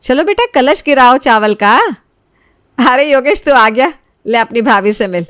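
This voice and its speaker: A woman's voice: fairly high pitch, animated, a little slow, fairly bright tone, dry recording, studio quality, voice pushed up. A woman voicing a welcoming middle-aged mother-in-law in a cartoon family story. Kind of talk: cartoon moral-story dialogue.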